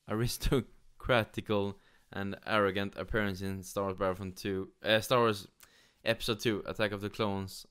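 A man from Sweden with a Swedish accent, giving casual, somewhat halting gaming news commentary. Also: fairly low pitch, fairly bright tone, clear, resonant tone. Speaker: gaming YouTuber. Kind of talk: casual news commentary, partly reading aloud.

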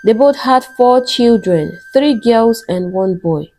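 Slow woman storyteller, mellow voice. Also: on the high side, expressive, a little hiss or hum, rough recording. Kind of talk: scripted folktale narration.

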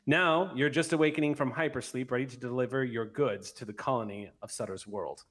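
A middle-aged man running a tabletop roleplay game as game master, narrating into a close mic with some halting self-corrections. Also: medium pitch, a bit bright. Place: indoor room, on a group video call. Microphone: microphone on an arm, close to mouth.

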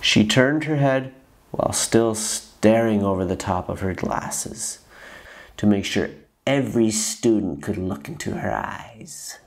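A man in his thirties reading a children's story aloud with dramatic, deliberate emphasis and stretched words. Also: on the low side, vocal fry. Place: small room at home, indoors.

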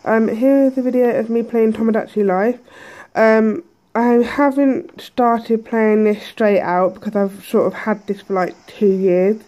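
A woman hosting a let's play, chatty and hesitant with lots of ums, her voice a bit croaky from a cold. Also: a little high, steady tone, unhurried, warm tone, poor audio. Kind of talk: casual game commentary. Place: indoors at a table.

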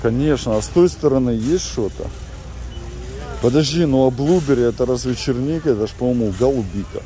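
A man's voice: mid-range voice, brisk, warm tone. A middle-aged man chatting casually to viewers while filming in a large warehouse store. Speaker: vlogger. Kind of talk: casual vlog chat while shopping. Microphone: handheld camera.

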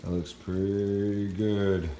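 A man in his thirties with a fairly low voice talks casually into his phone at home. He explains things step by step in an informal, plain-spoken way, as a fitness vlogger would in his own kitchen. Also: flat delivery, slow, heavy background noise. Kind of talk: casual how-to talk to camera. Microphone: phone at arm's length.

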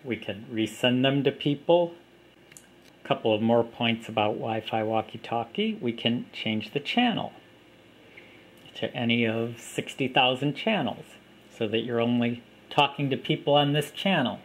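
A man giving a plain, unhurried walkthrough of an app, recorded on a camera mic indoors at a table. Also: medium pitch, low-quality recording. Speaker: app developer demonstrating his app. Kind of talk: casual product demo.

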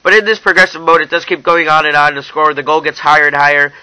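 A man's voice: a little high, flat delivery, fast talker, bright, forceful tone, smooth, no room sound, rough recording. A young man narrating a casual, hands-on app review in an informal way, talking straight to his viewers. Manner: informal, addresses viewers as you guys.

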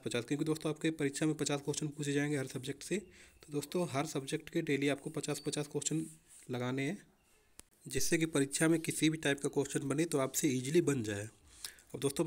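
Young man, exam coach. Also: on the high side. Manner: repeatedly addresses listeners as friends. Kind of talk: informal explanatory exam-preparation lesson.

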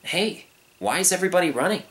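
Casting: man to play a naive young lion for a storybook, friendly and questioning, voice lifted high. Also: animated, fast talker, fairly bright tone. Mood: puzzled, curious. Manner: innocent, earnest, questioning.

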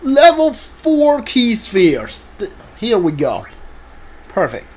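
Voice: mid-range voice, huge pitch swings, slow pace, darkish tone, slight background noise. A video game streamer giving casual commentary on a blind playthrough. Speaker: gameplay streamer. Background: game music behind the voice.

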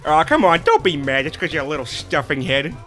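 Bright-voiced cocky young-sounding wisecracker played by a man. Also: fairly high pitch, sing-song, brisk, soft background music. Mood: taunting. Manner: mocking, casual. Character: cocky, taunting, foul-mouthed wisecracker. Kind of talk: comedic fan dub of a video game.

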